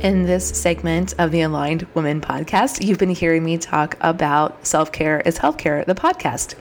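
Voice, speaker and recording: A woman's voice: sits low, animated, moderate pace, faint music behind. A woman in her thirties hosting her own podcast, talking in an upbeat, chatty way, her throat a little scratchy and froggy. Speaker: podcast host. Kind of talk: solo podcast monologue.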